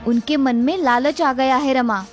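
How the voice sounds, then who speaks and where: Woman playing a cartoon elder sister-in-law, high voice, firm, cautious, music behind. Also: unhurried. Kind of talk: Hindi cartoon story dialogue.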